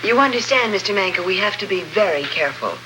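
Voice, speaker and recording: An actress playing a composed, businesslike female archaeologist and plotter in 1950s radio drama, speaking formally and with measured care. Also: medium pitch, animated, lightly acted. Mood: guarded, composed. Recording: vintage 1950s radio recording, faint noise.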